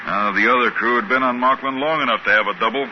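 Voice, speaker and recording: A man's voice: mid-range voice, quick pace, lightly acted. An actor playing an easygoing, matter-of-fact spaceship crewman in 1950s radio drama style, on a muffled, noisy old recording.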